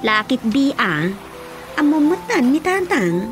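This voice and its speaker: An actress with an Ilocano Filipino accent playing a gently nagging village woman in a radio drama. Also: sits high, big pitch swings, a little slow. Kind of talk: radio drama comedy acting. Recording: loud music bed under voice.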